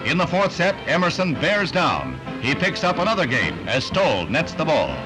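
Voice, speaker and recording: An American man reading scripted newsreel narration in a brisk, punchy, dramatic 1960s announcer style. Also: a little high, wildly swooping pitch, low-quality recording. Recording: vintage newsreel soundtrack, loud music underneath. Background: loud music bed.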